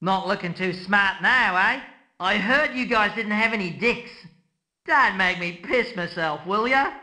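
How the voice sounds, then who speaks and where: A cocky video game soldier, with a broad Australian or New Zealand accent. His voice sits high for a male and is extremely bright and forceful. He throws out brash, mocking, slangy taunts. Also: measured pace, heavily acted.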